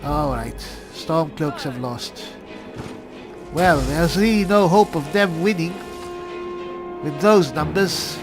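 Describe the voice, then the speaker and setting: A man's voice: a little high, takes it slowly, pauses often. A man doing in-character gameplay commentary, musing to himself in short remarks. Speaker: gamer narrating a roleplay playthrough. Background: music behind the voice.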